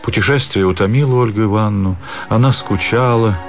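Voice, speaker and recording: Casting: male narrator, deep voice, measured literary storytelling for radio, music underneath.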